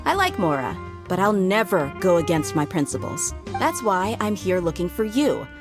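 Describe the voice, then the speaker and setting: A woman playing a blunt, loyal female mercenary bodyguard in an animated game, stern and no-nonsense. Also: on the low side, moderate pace. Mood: firm. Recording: music playing behind the voice.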